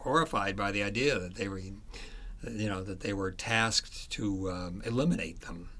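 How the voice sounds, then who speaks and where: A man speaking as an author in a conversational interview about his book, halting as he searches for words. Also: on the low side, bright, forceful tone.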